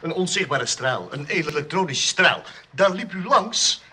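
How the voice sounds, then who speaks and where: An eccentric inventor speaks with proud, fussy excitement in a children's radio play. His voice is high, very sharp and animated, over faint noise. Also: unhurried, heavily acted. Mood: triumphant. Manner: fussy, excitable, absorbed in gadgets.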